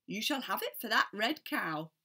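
A woman's voice: medium pitch, very animated, normal speed, bright, forceful tone, exaggerated voice. A woman voicing a smug, wheeling-dealing bagpipe player in a folktale.

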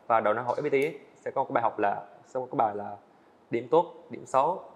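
A young man, a student animator, answering interview questions earnestly in casual speech, often restarting his phrases. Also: mid-range voice. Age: young adult. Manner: earnest, fast-talking, frequent small restarts. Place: indoor studio set.

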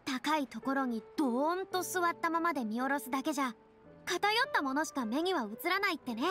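Anime game stage diva: high, self-assured, theatrical, boyish lofty phrasing, music behind. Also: female voice, expressive, fairly quick, a bit bright. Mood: musing, lofty. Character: theatrical, self-assured young female former stage star. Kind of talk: Japanese anime game voice acting.

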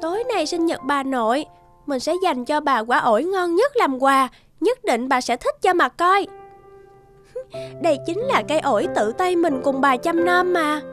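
A woman's voice: sits high, lively intonation, a little slow, fairly bright tone. A woman voicing a cheerful, curious little girl in a children's cartoon. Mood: excited. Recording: music behind the voice.

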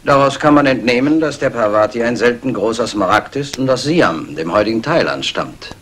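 An actor playing a diligent police assistant, precise and matter-of-fact, in a 1960s crime radio play. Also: male voice, medium pitch, animated, brisk, fairly bright tone, slight background noise, close to an everyday voice. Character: diligent male police assistant reporting findings. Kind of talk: 1960s German radio crime drama acting.

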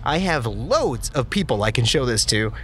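A man's voice: mid-range voice, sing-song, moderate pace, a bit bright. An actor playing a chatty, joking office worker who laughs at his own puns in an audio drama. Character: goofy pun-loving male office worker Andy. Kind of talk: audio drama interview monologue.

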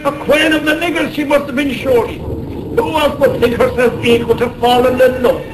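An actor playing a loyal, warm-hearted Irish seaman in a radio drama, with colourful turns of phrase. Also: extremely high pitch, fairly even intonation, normal speed. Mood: teasing. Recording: roomy, faintly noisy radio drama.

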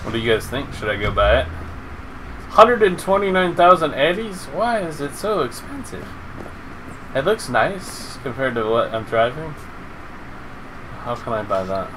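A man's voice: medium pitch, big pitch swings, unhurried, pauses often, background noise. A middle-aged man giving casual, slangy live commentary with some swearing as he streams a video game. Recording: game cars and gunfire behind. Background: game ambience, vehicles, gunfire.

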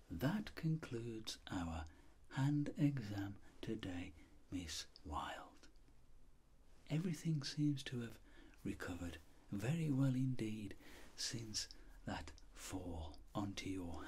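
Slow, whispering British man. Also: fairly low pitch. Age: middle-aged. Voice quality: soft, whispery, breathy. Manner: gentle, polite, measured instructions. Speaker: ASMR creator role-playing a clinic doctor. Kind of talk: ASMR clinic roleplay.

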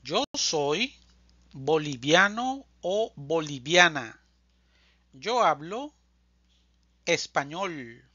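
A language teacher reading a scripted drill slowly, enunciating deliberately phrase by phrase, as a close voice-over. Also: medium pitch, very animated, pushed and bright, slightly rough, dry recording, muffled recording. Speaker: Spanish teacher.

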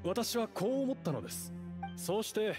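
A man's voice: high voice, animated, moderate pace, music in the background. A man voicing a polite, earnest club leader in an anime game, formal and deferential. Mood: respectful. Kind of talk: Japanese anime game voice acting.

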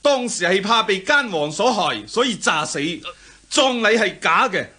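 An actor with a Hong Kong Cantonese accent playing a proud, honest young prince in a radio drama, earnest and declamatory. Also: male voice, sits high, very animated, a little slow, bright, forceful tone, exaggerated voice. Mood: defiant, insistent.

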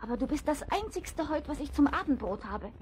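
A woman's voice: high voice, very animated, fairly quick, a little mellow, a little hiss or hum. A woman doing a gloating hungry big bad wolf.

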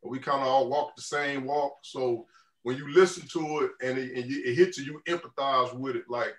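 A man in his thirties with a deep voice, answering interview questions in a loose, laid-back way on a video call. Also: fairly even intonation, fairly quick. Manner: laid-back, loose, lots of "you know what I'm saying". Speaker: rapper guest. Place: indoor room on a video call. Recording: roomy video call audio, a bit distant.

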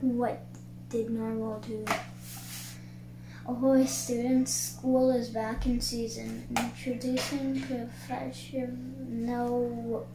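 A child reading a picture book aloud in a halting way, sounding out words. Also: medium pitch, little pitch movement. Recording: roomy echo, clear background noise.

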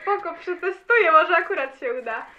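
A woman's voice: very high-pitched, lively intonation, moderate pace, a crowd in the background. A young woman playing a bubbly, easygoing party girl in an amateur radio drama, chatting in casual slang. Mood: cheerful, easygoing. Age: young adult. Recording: slightly dull, faintly noisy, clicky recording.

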